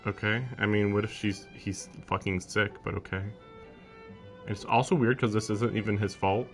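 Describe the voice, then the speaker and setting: A man's voice: on the low side, level tone, normal speed, vocal fry. A man live streaming a visual novel, reading its text aloud and breaking off for casual, wry, incredulous commentary. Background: game music with strings.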